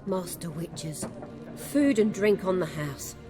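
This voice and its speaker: A brisk village innkeeper. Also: female voice, lowish voice, very animated. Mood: polite. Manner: polite, matter-of-fact. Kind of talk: video game cutscene acting. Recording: game audio with music behind.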